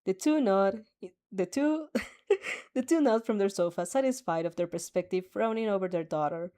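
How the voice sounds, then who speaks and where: A woman's voice: mid-range voice, expressive, normal speed, warm tone. A woman reading a fanfic aloud, with laughing asides and her own reactions. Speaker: fanfic reader.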